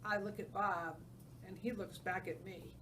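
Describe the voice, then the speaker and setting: A woman's voice: medium pitch, fairly even intonation, measured pace. A woman reading a story aloud as a teacher, narrating and putting questions to her students. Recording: distant mic with faint background noise.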